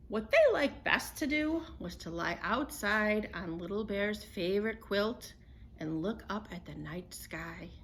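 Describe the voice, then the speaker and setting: A woman storyteller reading a children's picture book aloud in an expressive, unhurried way. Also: medium pitch, a little hiss or hum.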